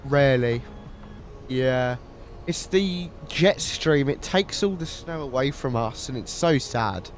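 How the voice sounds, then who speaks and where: Brief: male flight-sim streamer, stuffy cold voice, mid pitch, chatty, faint music behind. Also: lively intonation, takes it slowly. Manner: chatty, friendly, thanks viewers by name. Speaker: flight simulator livestreamer. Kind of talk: casual livestream chat while flying.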